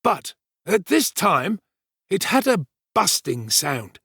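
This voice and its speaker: A pompous manservant from a storybook , acted out by a man, full of his own importance. His voice creaks and rasps, and he draws out each emphatic phrase in great swoops of pitch. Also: big pitch swings, slow, a bit bright, no room sound, studio quality, exaggerated voice. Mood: dramatic, grave. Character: pompous, self-important male servant telling his alarming adventure. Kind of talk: dramatised storybook reading.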